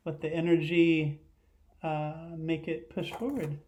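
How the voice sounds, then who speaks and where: A man's voice: on the high side, steady tone, unhurried, noisy background. Middle-aged man teaching young children. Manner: simple explanations with frequent questions to young viewers. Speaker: teacher giving a virtual read-aloud lesson. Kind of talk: children's lesson and picture book read-aloud. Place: living room at home, indoors.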